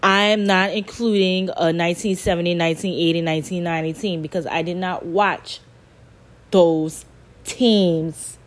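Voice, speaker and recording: A woman's voice: lowish voice, steady tone, normal speed. A woman in her thirties hosting a podcast, talking casually about sports in a chatty, emphatic way and repeating her points. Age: 30s. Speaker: podcast host.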